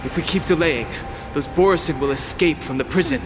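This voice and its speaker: High-pitched, urgent young male guard, acted by a man. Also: fairly quick, natural voice. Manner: urgent, tense. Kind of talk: dramatic video game dialogue. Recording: loud music behind the voice.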